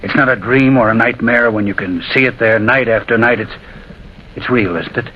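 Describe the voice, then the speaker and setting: An actor playing a haunted, frantic railway signalman in an old radio drama, halting and repeating himself in desperate pleading. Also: mid-range voice, close to an everyday voice. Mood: shaken, insistent. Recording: dull, hissy old radio recording.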